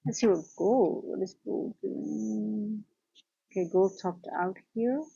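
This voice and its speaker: A woman's voice: mid-range voice, slow, vocal fry, dark tone, husky, muffled recording. A tired woman from the Netherlands with a Dutch accent, chatting casually through a live trading stream and answering viewers.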